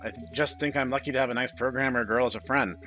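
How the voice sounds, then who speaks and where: A man's voice: mid-range voice, fairly even intonation, fast, pushed and bright, soft background music, natural voice. A man reading the part of an awkward, sardonic, introspective young programmer in a visual novel. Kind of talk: visual novel read-aloud.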